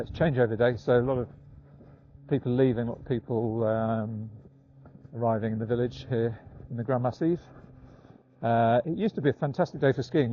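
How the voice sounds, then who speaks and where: An older man chatting casually and unhurriedly, with halting pauses, as he records a walking vlog outdoors. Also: medium pitch, dull audio. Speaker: vlogger. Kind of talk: casual rambling vlog talk while walking. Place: outdoors, walking in snowy woods.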